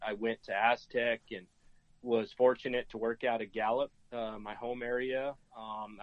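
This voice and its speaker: A middle-aged American man from New Mexico talking casually as an interview guest, in a folksy, halting way. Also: medium pitch, dry recording. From New Mexico, United States. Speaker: podcast guest, game and fish officer. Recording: dry, dull lo-fi sound.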